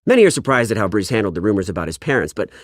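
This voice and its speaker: A man's voice: on the low side, big pitch swings, rapid-fire, no room sound, studio quality. A man voicing a snide, judgmental news pundit in a video game, scornful and emphatic. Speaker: voice actor.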